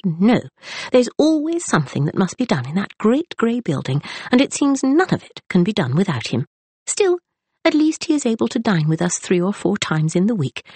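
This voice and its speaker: A woman's voice: a little high, darkish tone, slightly rough, no echo, voice pushed up, exaggerated voice. A woman doing a gushing plump society matron. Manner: fussy, gushing. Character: plump chatty matron, Regency society wife.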